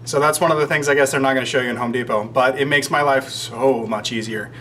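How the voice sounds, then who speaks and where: A man's voice: medium pitch, steady tone, quick pace, pushed and bright. An American man in his thirties explaining casually to camera, with rambling, wry, self-deprecating asides. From United States, Georgia. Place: indoors in a shop workroom under renovation. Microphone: camera microphone at about arm's length. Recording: faint background noise.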